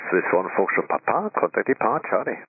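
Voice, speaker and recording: A man working as an air traffic controller, giving brisk, procedural clipped instructions over a radio. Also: on the low side, big pitch swings, breathy edge, no echo. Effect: radio transmission. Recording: tinny narrowband aviation radio.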